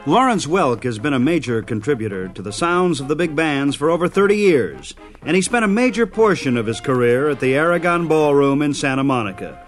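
A man's voice: a little high, animated, a little fast, soft background music. A man giving scripted narration as a radio show host.

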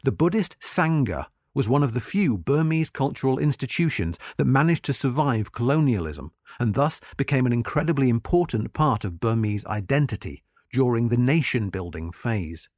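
A man reading a scripted article aloud as narrator, in a measured, formal and articulate manner. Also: medium pitch, expressive, a little mellow, no room sound, studio quality.

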